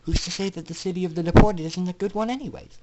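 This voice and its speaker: A man voicing a gentle, sincere female pegasus pony in a storybook reading. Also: high-pitched, fast talker, heavy background noise, voice pushed up, close to an everyday voice. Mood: consoling.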